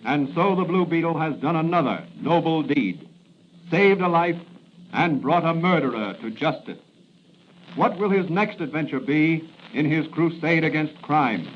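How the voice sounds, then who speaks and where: A man's voice: fairly high pitch, expressive, a little slow. A man narrating a 1940s radio serial as its announcer, with dramatic scripted delivery. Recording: muffled, hissy 1940s radio recording.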